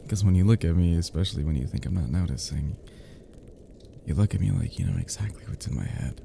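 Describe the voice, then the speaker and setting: A man playing an affectionate, flirty close friend in an intimate romantic roleplay, speaking in a soft, teasing, breathy murmur with hushed pauses. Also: low-pitched, background noise. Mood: shy, teasing.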